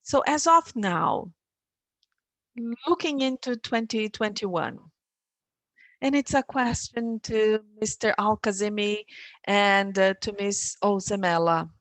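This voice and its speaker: Slow-speaking woman moderating a webinar. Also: medium pitch, animated, no echo. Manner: slow, deliberate, with hesitant fillers. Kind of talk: formal moderation.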